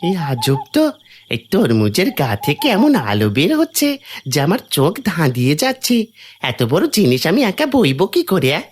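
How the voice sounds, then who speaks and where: A man's voice: fairly high pitch, huge pitch swings, normal speed, slight background noise. A man playing a grumbling, easily frightened village thief in a cartoon folk tale, whining and exclamatory. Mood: grumbling awe. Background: background music.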